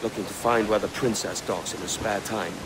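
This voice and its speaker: An actor playing a determined, roguish pirate captain in a video game, brisk and commanding but informal. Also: male voice, fairly low pitch, steady tone, noisy background, lightly acted. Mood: steady, assured. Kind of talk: dramatic video game acting.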